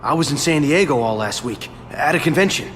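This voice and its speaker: An actor playing a nervous male murder suspect in a video game, defensive and hurried. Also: fairly high pitch, animated, a bit bright, a little hiss or hum. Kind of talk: video game cutscene acting.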